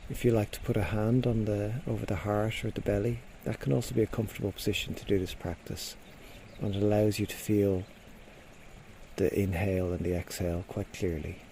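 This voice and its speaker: Male meditation guide, soft mellow tone, medium pitch, gentle and unhurried, pausing often, faint birdsong and nature sounds behind. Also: level tone, slight background noise. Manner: gentle, unhurried instruction with frequent pauses. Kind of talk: guided body scan meditation.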